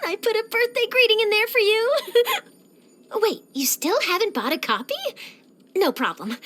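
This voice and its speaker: A young woman voicing an eager, curious, cheerful young reporter in a video game, with a chatty, expressive delivery. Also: extremely high pitch, exaggerated voice. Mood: excited. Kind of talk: scripted video game character voice lines.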